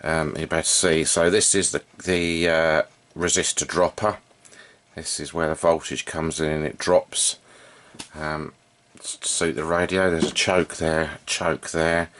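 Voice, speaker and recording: A man giving an unscripted, informal hands-on demonstration, thinking aloud in a halting way as he works as a hobbyist repairer. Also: very deep voice, flat delivery, fairly bright tone. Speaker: vintage radio repair hobbyist and video presenter.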